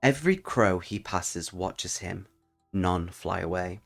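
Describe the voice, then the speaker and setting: A man's voice: deep voice, expressive, slow, vocal fry, soft background music. A man hosting a solo tabletop roleplaying channel, speaking as a storyteller to his listeners. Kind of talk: storytelling narration and casual channel talk.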